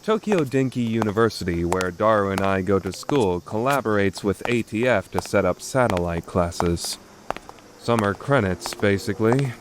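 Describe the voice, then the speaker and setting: A man playing a grandiose, theatrical young mad scientist in an anime visual novel fan dub, declaiming pompously and quick to take offence. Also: on the low side, background noise. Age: young adult. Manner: pompous, declamatory, easily indignant.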